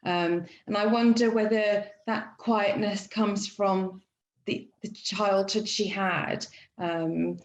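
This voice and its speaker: A woman guest speaking thoughtfully in a podcast chat, pausing often with "um" as she gathers her thoughts. Her mid-pitched voice carries a clear room echo. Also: steady tone. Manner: reflective, hesitant, many fillers. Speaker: student guest.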